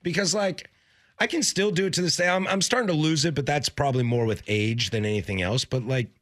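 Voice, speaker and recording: A man in his thirties with a low, slightly raspy voice, co-hosting a radio baseball show in a joking, rambling way. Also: brisk, a bit bright, dry recording, clean studio sound. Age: 30s. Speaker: podcast co-host. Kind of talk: casual sports radio chat. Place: radio studio. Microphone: studio mic with headphones.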